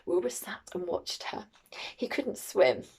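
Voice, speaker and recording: A woman reading a picture book aloud to children in an expressive, teacherly, questioning way. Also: on the low side, a little slow, gravelly, husky.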